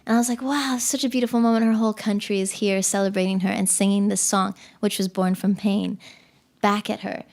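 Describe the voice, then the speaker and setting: A woman's voice: mid-range voice, fairly even intonation, fairly quick, a little mellow. A woman from the Cayman Islands, a podcast guest, talking in a chatty, casual way with many fillers and restarts. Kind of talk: casual interview chat.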